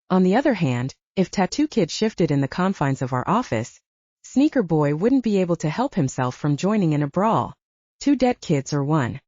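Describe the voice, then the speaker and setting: Lady reading an audiobook story, mellow lowish voice, really animated delivery, first person fiction. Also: no room sound, lo-fi sound. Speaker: audiobook narrator.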